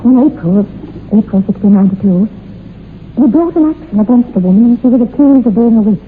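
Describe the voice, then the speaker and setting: A troubled, earnest woman played by a radio actress with a South African accent tells a dark tale in a fairly high voice. She is hesitant and dramatic, in horror radio-drama style. The old recording is muffled, with faint noise. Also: expressive, fast talker, lightly acted. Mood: grave, haunted. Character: troubled woman recounting her ancestor's dark witch-trial secret.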